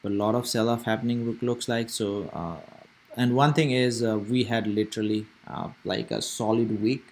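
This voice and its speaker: A young man with a fairly low voice talks through stock charts in a casual, meandering way. He thinks out loud, restarting sentences and filling pauses as he goes. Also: fairly even intonation. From Nepal. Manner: rambling, thinking aloud with many fillers and restarts. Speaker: stock trading streamer. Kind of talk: casual live market commentary.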